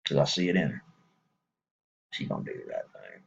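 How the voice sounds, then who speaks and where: A man's voice: very deep voice, big pitch swings, fairly quick, creaky, breathy edge, highs cut off. A man with a Texas accent speaking casually to viewers in a folksy, rambling way, chuckling between thoughts.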